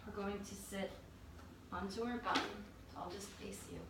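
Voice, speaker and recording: A woman teaching a yoga class, calmly guiding breath and movement step by step. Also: mid-range voice, a little slow. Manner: calm, gentle cueing of breath and movement. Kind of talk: guided yoga instruction. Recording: very echoey room, distant mic, faint noise.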